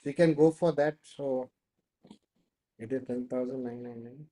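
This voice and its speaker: A man's voice: mid-range voice, fairly even intonation, moderate pace, dark tone. A man with an Indian accent hosting an online class, explaining and answering questions, often repeating himself. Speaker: host of an online training class. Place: online video call.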